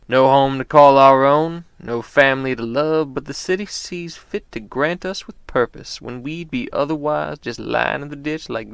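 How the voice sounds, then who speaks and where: A man's voice: mid-range voice, gravelly, clean tone, dry recording, lightly acted. A man playing a wry, world-weary homeless informant, delivering a theatrical, knowing monologue in a noir audio drama. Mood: sly, inviting.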